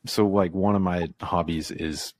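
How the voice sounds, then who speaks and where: Deep-voiced Southern-raised man, halting. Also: fairly even intonation, no echo, clean studio sound. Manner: reflective, halting, filler-heavy. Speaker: podcast guest. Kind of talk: casual conversation.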